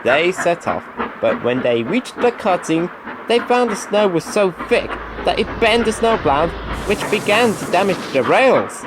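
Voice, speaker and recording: Animated male storyteller, fairly high voice. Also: measured pace, background noise. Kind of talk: scripted children's story narration.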